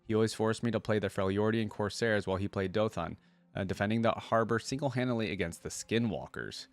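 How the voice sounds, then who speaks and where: A man reading as a gentle young woman mage, low-pitched. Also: a little fast, dry recording, lightly acted. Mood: reassuring. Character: compassionate young woman mage comforting a soldier.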